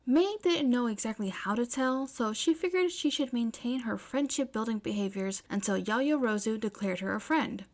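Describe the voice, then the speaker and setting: Female storyteller, fairly high voice, scripted fiction narration. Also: lively intonation, moderate pace, hardly any pauses.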